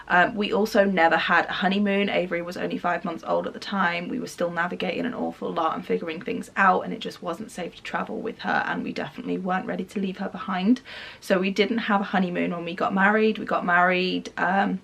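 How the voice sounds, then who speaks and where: A woman of thirty chatting through a packing vlog with plenty of ums, her voice croaky and fading from a cough. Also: fairly low pitch, little pitch movement, fast talker, a bit bright. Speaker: family vlogger. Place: her bedroom.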